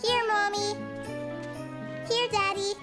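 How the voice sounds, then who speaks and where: A woman voicing an excited, sweet four-year-old boy in a preschool cartoon, with an eager childlike manner. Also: very high-pitched, takes it slowly. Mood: sweet, proud. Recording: music behind the voice.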